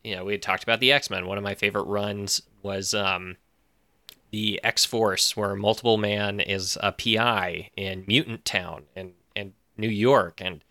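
A man's voice: fairly low pitch, fairly even intonation, a little slow, bright, forceful tone, no echo. A man speaking as a podcast guest in casual chat, thoughtful, often restarting his phrases. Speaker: podcast guest, audio fiction creator.

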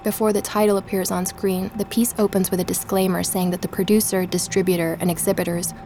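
An actress playing a podcast host, narrating in a calm, reflective way for an audio drama. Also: female voice, fairly low pitch, fast, slight background noise, lightly acted. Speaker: podcast narrator and investigator Emma.

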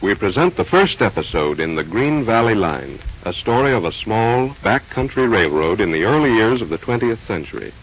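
A man's voice: on the low side, big pitch swings, moderate pace, hardly any pauses, slightly rough. A man giving formal scripted narration as an old-time radio announcer. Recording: muffled old radio recording, faint noise.

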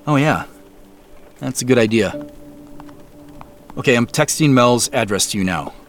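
An actor playing an earnest, cautious amateur sleuth in an audio drama, speaking in a measured, conversational way. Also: male voice, medium pitch, animated. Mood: earnest, careful. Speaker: lead character and narrator. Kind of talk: scripted audio drama, first-person narration and naturalistic phone dialogue. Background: background music.

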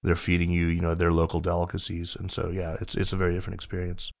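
A man's voice: low voice, monotone, quick pace, gravelly. A man speaking as a podcast guest in casual conversation, reflective, with frequent restarts and fillers.

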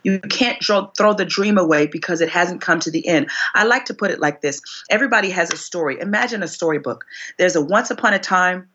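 A woman in her forties, a singer being interviewed, telling her story expressively, her voice climbing high whenever she relives a moment. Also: fairly quick, fairly bright tone, highs cut off, a little hiss or hum. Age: 40s. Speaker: guest singer.

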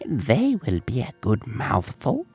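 A man's voice: very high-pitched, huge pitch swings, a little slow, husky, soft background music, pitched above the performer's own voice, exaggerated voice. A man voicing a cruel, greedy, impatient old witch woman who scolds and gives orders in a storybook tale. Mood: greedy muttering. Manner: scolding, commanding.

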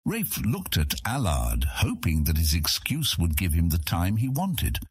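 A man reads fantasy fiction as a dramatic storyteller. His low voice has a husky grain and swings widely in pitch. The delivery is scripted and expressive. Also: unhurried, no echo, studio quality. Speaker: audiobook narrator.

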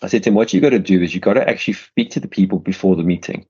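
A man's voice: deep voice, racing, mellow, slightly rough, highs cut off. A man with a South African accent hosting an interview, rambling in casual chat with many restarts and repeated words. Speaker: podcast host.

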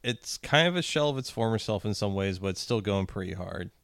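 A man's voice: fairly low pitch, lively intonation, a little fast, fairly bright tone, dry recording, clean studio sound. A man co-hosting a podcast in a laid-back, sardonic, casual style. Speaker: podcast co-host. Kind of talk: casual comedic chat.

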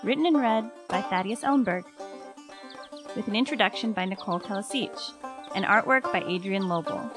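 A woman's voice: medium pitch, moderate pace, over music. A woman announcer reading a scripted podcast outro. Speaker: credits announcer.

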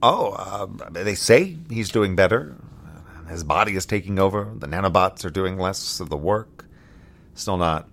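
A man's voice: low voice, animated, measured pace, a bit bright, exaggerated voice. An actor playing a weary, wry, heartsick man in a space colony, in a dry, understated audio drama delivery. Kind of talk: scripted audio drama acting.

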